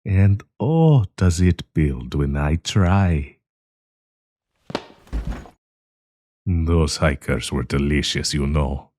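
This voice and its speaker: A man playing a sinister, possessive werewolf professor in an intimate audio roleplay, coaxing and menacing, with a husky, breathy voice. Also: extremely low pitch, expressive, a little mellow, no echo, heavily acted. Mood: menacing, amused. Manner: slow, coaxing, menacing endearments.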